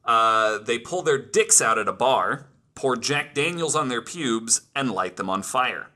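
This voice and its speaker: A man's voice: mid-range voice, lively intonation, a little slow, bright, forceful tone. A man hosting a podcast, reading out researched stories with casual asides and plenty of "like". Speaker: podcast host telling the story.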